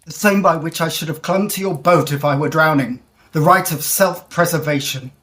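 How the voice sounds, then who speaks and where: A woman acts a confident gentleman traveller in a gothic audio drama. The voice sits a little low, with educated, formal phrasing and a deliberate storyteller's delivery. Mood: insistent. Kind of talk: period audio drama acting and narration.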